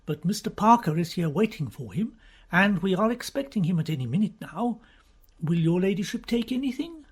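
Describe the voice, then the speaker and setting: A woman voicing an unflappable male butler who speaks in a measured, deferential, formal way. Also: medium pitch, very animated, a little mellow, breathy edge. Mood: calm.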